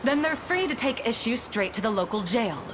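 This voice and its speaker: A woman's voice: on the high side, lively intonation, moderate pace, background noise, close to an everyday voice. An actress playing a stern, proud lady commander, with a formal, commanding, sardonic manner in a fantasy game. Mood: stern, dismissive. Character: stern, proud lady commander keeping order amid plague. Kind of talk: theatrical fantasy game acting. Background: music.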